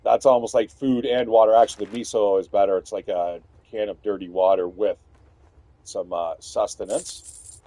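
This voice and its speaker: A man giving loud, rambling, profanity-filled commentary while playing a video game. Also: on the low side, steady tone, moderate pace, no echo. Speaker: gaming streamer. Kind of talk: casual gameplay commentary.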